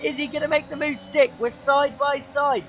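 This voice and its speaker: A young man commentating his own sim race, his voice going hoarse, excitable and shouting at the big moments. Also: very high-pitched, normal speed, lo-fi sound, a little hiss or hum. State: losing his voice. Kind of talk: gaming commentary.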